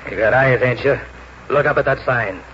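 A man plays a suspicious, plain-spoken New policeman in an old radio play. He talks in blunt street slang at a middling pitch. The recording is muffled and very noisy. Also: normal speed, lightly acted. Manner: blunt, colloquial street talk. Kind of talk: 1940s radio drama acting.

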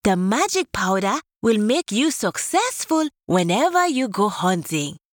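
A woman voicing a small, sneaky female tortoise in a children's storybook, with a high, sweet, pleading voice. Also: sing-song, a little slow, no echo, clean studio sound. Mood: sly.

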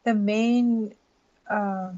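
A woman's voice: mid-range voice, steady tone, extremely slow, mellow, dull audio. A woman from Peru, an illustrator speaking earnestly in an interview and often restarting her phrases. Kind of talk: casual interview.

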